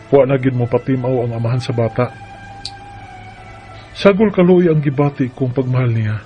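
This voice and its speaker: An actor playing a devoted, playful young husband in a sentimental radio drama, tender and earnest. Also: male voice, mid-range voice, close to an everyday voice. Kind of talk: sentimental radio drama acting with first-person narration. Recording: dull lo-fi radio sound with music.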